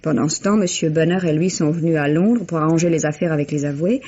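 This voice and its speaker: A woman's voice: sits low, quick pace, mellow. An actress playing a gracious, composed lady hostess in a vintage French radio mystery, polite and well-spoken. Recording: dull vintage radio recording, faint hiss.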